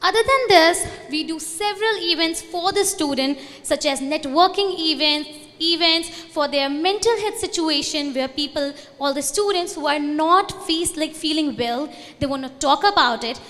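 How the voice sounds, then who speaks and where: A woman's voice: high voice, normal speed, fairly bright tone. A young woman from India with an Indian accent, for whom Hindi is her first language, giving an earnest conference presentation with loose phrasing and restarts. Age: young adult. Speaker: student organization representative. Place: large convention hall. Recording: reverberant hall.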